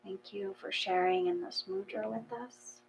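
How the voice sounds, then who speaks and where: A woman in a casual, reflective discussion, explaining gently and a little tentatively. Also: mid-range voice, fairly even intonation, measured pace, creaky, poor audio. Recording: faint background hum.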